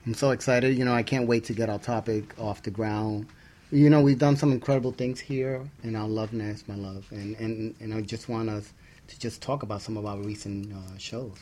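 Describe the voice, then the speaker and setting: A man's voice: lowish voice, fairly even intonation, normal speed, warm tone. A middle-aged man co-hosting a radio talk show, chatty and upbeat, affirming as he goes, with some scripted reading.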